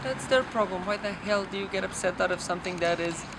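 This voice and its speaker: Guy rambling philosophy off the cuff, kinda high voice, swears a bit, really loud traffic behind. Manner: halting, searching, profane. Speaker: documentary film subject. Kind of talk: unscripted rambling philosophical musing. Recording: noisy outdoor street with traffic.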